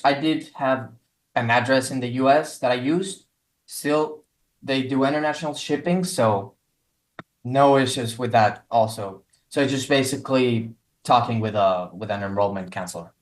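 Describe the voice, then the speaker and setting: Young man, roomy sound. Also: mid-range voice. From Mexico. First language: Spanish. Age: 20s. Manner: relaxed, rambling with many fillers. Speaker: guest. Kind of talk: casual interview.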